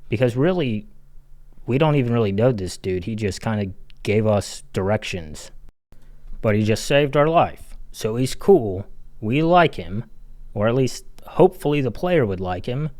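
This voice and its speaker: A man's voice: lowish voice, darkish tone, dry recording. A man giving a casual, blunt and sardonic video essay critique as a game reviewer, with some swearing.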